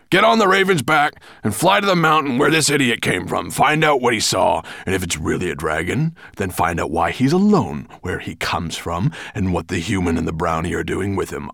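A huge dragon tyrant from a storybook, growling and booming in a gravelly voice. He snaps out his words with imperious contempt and grumpy menace, recorded dry and close. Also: lively intonation, moderate pace, hardly any pauses, no echo, exaggerated voice. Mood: commanding.

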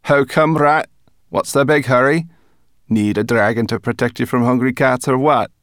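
A man voicing a small, sharp-tongued young female brownie creature in a storybook, brash and mocking. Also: medium pitch, normal speed, no echo, clean studio sound, pitched above the performer's own voice, close to an everyday voice. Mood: brash teasing.

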